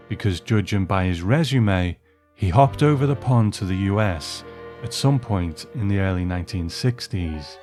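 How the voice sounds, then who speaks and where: A man with a low voice presents scripted commentary on old screen drama. He speaks as a host, chatty and measured, like someone telling a story he has prepared. Soft music plays behind him. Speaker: podcast host. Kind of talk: scripted film and television commentary.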